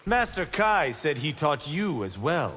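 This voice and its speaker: An actor playing a cocky, brash young martial-arts monk trading boastful, teasing banter in a video game. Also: male voice, a little high, big pitch swings, unhurried, a bit bright, full character voice. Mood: earnest. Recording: reverberant game audio with background noise.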